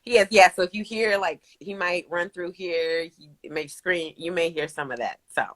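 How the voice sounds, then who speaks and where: Bright-voiced young woman. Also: lowish voice, normal speed. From Kentucky, USA. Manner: animated, laughing storyteller. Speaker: guest. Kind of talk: casual interview.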